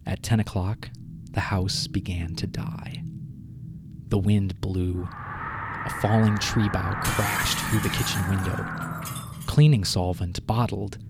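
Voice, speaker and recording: A man narrating a scripted short story as audio drama, with deliberate, dramatic phrasing. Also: deep voice, steady tone, creaky. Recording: prominent music behind the narration.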